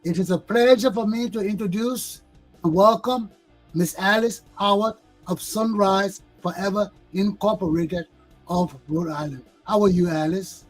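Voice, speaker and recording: Man, talk show host, high-pitched, rough-edged voice, formal and ceremonious delivery. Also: a little slow, soft background music, rough recording. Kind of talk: formal interview hosting.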